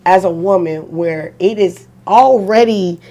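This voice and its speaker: A woman's voice: lowish voice, a little slow, mellow, lo-fi sound. A woman in her forties, a podcast guest telling her story in candid, emphatic casual talk full of slang. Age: 40s. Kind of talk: casual chat. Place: podcast studio.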